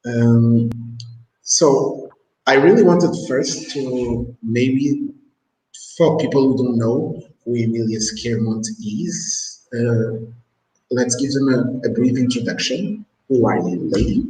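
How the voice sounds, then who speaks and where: A man hosting a casual talk show interview over a video call, speaking haltingly with many restarts. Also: mid-range voice, a little mellow, roomy sound, low-quality recording. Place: Mozambique.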